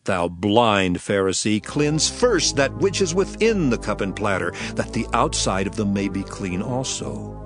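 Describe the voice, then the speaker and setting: A stern, righteous prophet delivers deliberate, declamatory rebukes in a dramatized scripture reading. His pitch rises and falls dramatically, and music plays clearly beneath him. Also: sing-song. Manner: declamatory, deliberate archaic oratory. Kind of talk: dramatized King James scripture performance. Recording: music under the voice.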